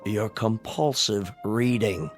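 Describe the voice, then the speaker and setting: An actor playing a pompous, domineering, middle-aged bank president in a radio drama, with a stern and emphatic delivery. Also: male voice, fairly low pitch, takes it slowly, soft background music. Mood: scornful, disapproving.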